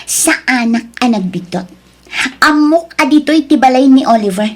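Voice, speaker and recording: A woman's voice: sits high, expressive, normal speed. A woman with a Filipino accent playing a brazen, demanding young mistress in an audio drama, insistent and confrontational. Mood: defiant, demanding. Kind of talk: Ilocano audio drama acting.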